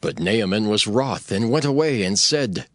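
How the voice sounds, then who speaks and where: Male narrator, low register, lively intonation, dramatized scripture, faint music underneath. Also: moderate pace, dry recording, clean studio sound.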